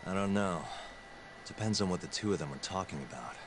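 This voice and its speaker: A man's voice: deep voice, lively intonation, brisk, noisy background. An actor playing a cool-headed male detective in a game, blunt and questioning. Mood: pondering.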